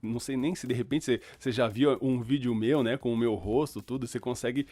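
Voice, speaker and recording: A man's voice: mid-range voice, steady tone, fairly quick, mellow, no echo. Young guy from chile hosting a faith podcast, loose chatty talk, dead dry close mic. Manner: chatty, informal, fillers like "cara". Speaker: podcast host.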